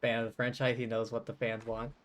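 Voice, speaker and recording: A man live-streaming a game, chatting casually and rambling to his viewers, with music softly behind him. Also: mid-range voice, monotone, a little fast. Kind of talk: casual chat while playing a game. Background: faint music.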